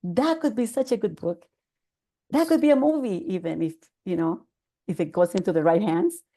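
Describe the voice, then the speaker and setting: Older Salvadoran woman with a mellow voice. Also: mid-range voice. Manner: measured, earnest storytelling with fillers. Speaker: podcast guest, author. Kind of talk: conversational interview.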